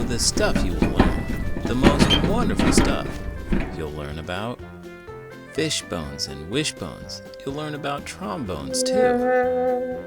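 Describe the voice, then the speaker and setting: A man hosting a children's storybook read-aloud, theatrical and full of big emotion, stretching words for effect. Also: mid-range voice. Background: music.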